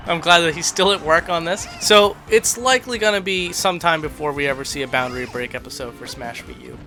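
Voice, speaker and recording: A man's voice: on the high side, sharp tone. A man hosting a casual, rambling game tour commentary in a chatty, self-deprecating way. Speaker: video host. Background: background music.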